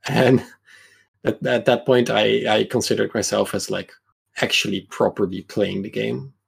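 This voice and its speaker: A man in his mid-twenties with a fairly low voice and plenty of fry. His tone is husky, and he tells anecdotes in a loose, rambling conversational style, often breaking off mid-thought. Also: steady tone, measured pace. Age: mid-20s. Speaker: podcast guest, competitive card game player. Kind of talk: casual chat, personal anecdotes.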